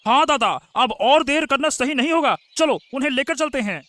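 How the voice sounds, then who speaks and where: A man voicing a cocky, excitable younger brother, a sly accomplice in a moral-story cartoon. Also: extremely high pitch, normal speed, dry recording. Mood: eager. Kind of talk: animated Hindi moral-story dialogue.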